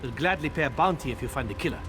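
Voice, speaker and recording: A man acts out a gruff Nord official in a fantasy video game. His mid-range voice is blunt and officious, with a dry, weary wit. Music plays clearly behind him. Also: mid-range voice, animated, racing, close to an everyday voice. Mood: wry, businesslike.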